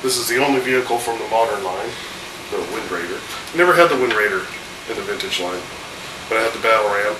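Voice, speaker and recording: A man's voice: fairly low pitch, fast talker. A man in his thirties with a cold, hosting a casual home video and chatting loosely with lots of fillers. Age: 30s. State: has a cold, voice a little off. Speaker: host. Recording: echoey room, distant mic, air conditioner hum.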